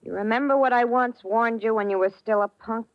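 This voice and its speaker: A woman's voice: a little high, fairly quick, no room sound, highs cut off, lightly acted. Woman playing a tough, jaded gangster's moll, close and dry. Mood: bitter, warning. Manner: blunt, scolding, slangy. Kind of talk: old-time radio crime drama acting.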